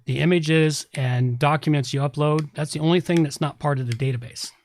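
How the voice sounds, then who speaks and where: A man's voice: fairly high pitch, steady tone, a little fast, a little mellow, a little hiss or hum. A man hosting a tech podcast, talking casually and explaining things with emphatic repetition. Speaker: podcast host, website repair specialist.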